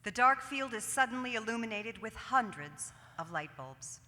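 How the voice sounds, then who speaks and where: A woman's voice: on the high side, animated, moderate pace, bright, forceful tone. A woman reading scripted stage directions as narrator of a staged reading, in a reverberant theatre. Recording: reverberant hall, faint noise and audience.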